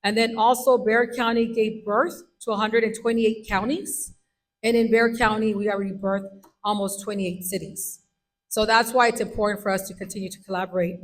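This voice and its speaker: A woman, speaking loudly and emphatically as county clerk to a meeting of local officials. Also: a little high. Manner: loud, emphatic. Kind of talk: speech to a meeting.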